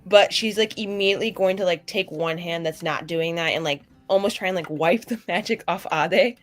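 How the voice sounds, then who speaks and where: A woman playing a tabletop roleplaying game, chatting casually in an animated, rambling and frank way. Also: lowish voice, fairly quick, faint music behind. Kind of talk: casual improvised roleplay chat.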